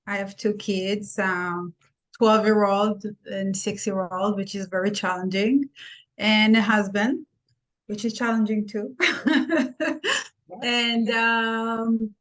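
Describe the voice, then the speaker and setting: A woman's voice: mid-range voice, fairly even intonation, takes it slowly, poor audio. A middle-aged woman from Israel with an Israeli accent, chatting candidly and casually as an interview guest. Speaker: podcast guest, nurse practitioner.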